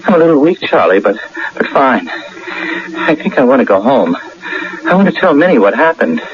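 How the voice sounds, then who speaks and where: An actor playing an earnest, excitable and stubborn ordinary man in an emphatic, theatrical radio drama style. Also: medium pitch, normal speed, noisy background, close to an everyday voice. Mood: drained, shaken. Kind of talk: 1980 American radio drama acting. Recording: dull lo-fi old radio broadcast.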